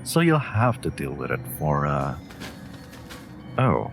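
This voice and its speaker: A man voicing a clever, haughty wolf familiar in a scripted fantasy audio roleplay, speaking in a dry, smug, sharp-tongued manner. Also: rumbling low, wildly swooping pitch, slow pace, creaky, faint music behind, full character voice. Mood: exasperated.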